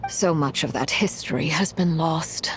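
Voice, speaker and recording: An actress playing a cold, composed noble commander who speaks in a measured, formal way in a video game. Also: female voice, sits low, a little hiss or hum.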